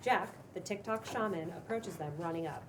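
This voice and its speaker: A woman's voice: on the low side, normal speed. A woman narrating the stage directions of a script at a cold table read. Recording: distant mic, clearly noisy room.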